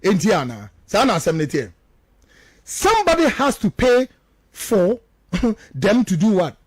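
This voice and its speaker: A man's voice: high voice, big pitch swings, a little slow, husky. A man with a Ghanaian accent giving impassioned political commentary as a radio host, emphatic and repetitive, firing off rhetorical questions. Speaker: radio talk show host.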